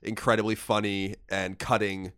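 A man's voice: lowish voice, flat delivery, normal speed, a bit bright, clear, resonant tone. A young man with a stuffy voice from a cold, co-hosting a casual podcast and reading listener letters aloud. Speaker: podcast co-host.

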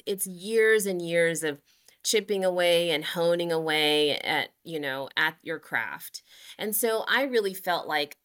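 This is a woman in her forties, a guest on a podcast, who talks about her running in an earnest, upbeat way. Her voice sits a little low but sounds bright and forward. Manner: earnest and upbeat, with frequent fillers. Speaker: guest, amateur marathon runner. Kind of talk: casual podcast interview.

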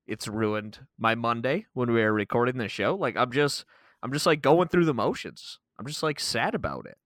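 A man's voice: mid-range voice, very animated, normal speed, a bit bright, no room sound. Male co-host, rambling and casual, dry close recording. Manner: rambling, frequent "like". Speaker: podcast co-host. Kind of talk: casual chat.

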